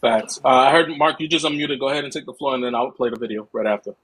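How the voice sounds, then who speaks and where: A man moderates a casual live discussion. His voice is husky and mid-pitched, and he talks very quickly in short informal bursts. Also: a bit bright, low-quality recording. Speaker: host, moderator.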